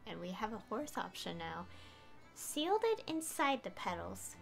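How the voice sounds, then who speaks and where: A woman's voice: medium pitch, big pitch swings, normal speed, creaky, music in the background. A woman streaming a game with casual commentary, thinking aloud hesitantly.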